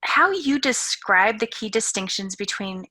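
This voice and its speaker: A woman's voice: medium pitch, expressive, a little slow, pushed and bright. A woman, hosting an interview with introductions and questions. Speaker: interview host.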